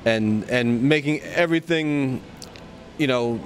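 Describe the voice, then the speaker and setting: A man giving a casual interview in a halting way, often stopping and starting mid-phrase. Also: medium pitch. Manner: halting, hesitant phrasing with frequent stops. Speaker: actor being interviewed.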